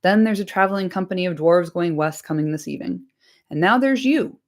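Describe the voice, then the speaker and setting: A woman voicing a fat, bustling, bald male innkeeper who talks quickly and without pause. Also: lowish voice, lively intonation, lightly acted. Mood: chatty, harried. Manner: brisk, talkative, hurried.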